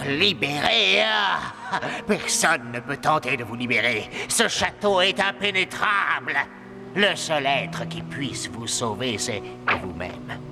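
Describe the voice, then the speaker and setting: An actor playing a menacing, mocking male sorcerer, pompous and gloating, in an animated fairy tale. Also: on the high side, takes it slowly, creaky, music in the background, heavily acted. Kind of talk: animated cartoon dub.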